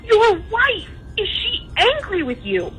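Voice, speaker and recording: A woman's voice: extremely high pitch, wildly swooping pitch, slow, fairly bright tone, faint music behind. A woman talking conversationally on a phone call. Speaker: caller on a radio phone segment.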